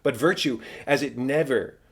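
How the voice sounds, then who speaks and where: A man voicing the ghost of a murdered king, a solemn, tormented, vengeful father declaiming in drawn-out phrases in a Shakespeare audiobook. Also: medium pitch, fairly bright tone, slightly rough, voice pushed up. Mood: grave, wronged. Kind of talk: dramatic Shakespeare audiobook reading.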